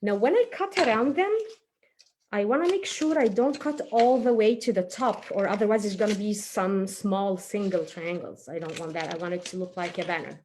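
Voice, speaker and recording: A woman's voice: mid-range voice, normal speed, a little mellow. Woman chatting through a kids' craft. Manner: chatty, encouraging, thinking aloud while working with hands. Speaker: children's craft and story presenter. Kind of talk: casual craft tutorial for young children, narrating steps aloud. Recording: faint background noise, small clicks.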